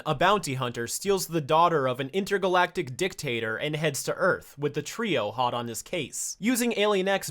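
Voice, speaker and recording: Higher-pitched man giving casual video commentary. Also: fairly bright tone. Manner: casual, opinionated, mildly sweary. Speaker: host of an animation review channel. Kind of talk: scripted video essay commentary.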